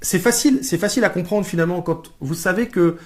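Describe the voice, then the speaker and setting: A man's voice: high-pitched, quick pace. A man co-hosting a live stream as a life coach, chatting casually, joking and searching for his words. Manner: jokey, searching for words, playful put-on slang.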